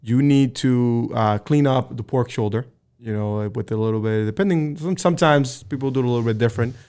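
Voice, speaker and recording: A man in his thirties from the Dominican Republic, a guest telling stories in a relaxed, easygoing way. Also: medium pitch, brisk, clear, resonant tone. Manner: relaxed, easygoing storyteller with many fillers. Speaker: guest, firefighter. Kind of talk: casual interview chat.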